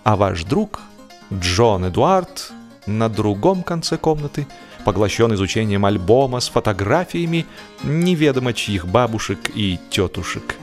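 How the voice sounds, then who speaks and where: A man reading a humorous literary classic as an audiobook narrator, expressively and wryly, with drawn-out pauses and emphatic phrasing. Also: on the low side, big pitch swings, faint music behind.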